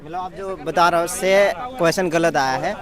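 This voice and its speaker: Male interviewer, high voice, casual and prompting, recorded outdoors with crowd and traffic around. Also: steady tone, normal speed. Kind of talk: casual on-the-spot questioning. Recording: busy outdoor street, crowd and traffic noise.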